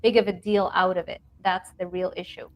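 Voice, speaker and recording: A woman from Lebanon in her thirties, guest in a casual video-call interview, talking in an animated way with frequent laughs and restarts. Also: fairly low pitch, fairly quick. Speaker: guest nutrition researcher.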